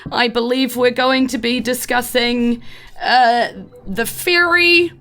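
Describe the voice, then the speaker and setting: Woman playing a halting elderly town speaker. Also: fairly high pitch, steady tone, fairly bright tone, pitched above the performer's own voice. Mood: officious. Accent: New Zealand. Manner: officious, full of halting "uh"s. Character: hesitant elderly male town speaker in robes.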